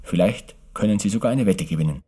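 A man's voice: fairly low pitch, fast, warm tone, slightly rough. A man making a formal, scripted old-fashioned radio announcement in a staid, politely ironic manner. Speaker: radio announcer introducing a mystery play. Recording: archive radio broadcast.

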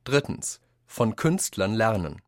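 A man reads scripted quotations in a radio essay, in a measured, deliberate and articulate manner. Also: lowish voice, big pitch swings, loud music behind the voice.

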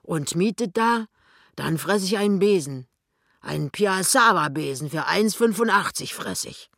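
A narrator voicing a fat, blustering, grumbling shopkeeper husband in an audiobook, speaking loudly and colloquially. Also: mid-range voice, lively intonation, unhurried, vocal fry, dry recording, clean studio sound, exaggerated voice. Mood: mocking. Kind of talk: audiobook character dialogue.

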